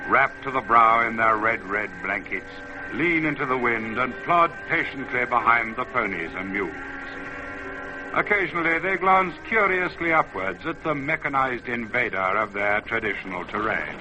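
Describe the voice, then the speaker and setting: A man announcing and narrating a scripted vintage radio programme in a formal, measured, poetic way. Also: medium pitch, animated. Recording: dull vintage radio recording, music behind. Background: music.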